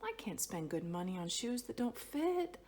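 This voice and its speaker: A woman's voice: mid-range voice, sing-song, normal speed, a little mellow, a little hiss or hum, natural voice. Woman reading as a kindly, practical storybook grandmother. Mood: gently firm.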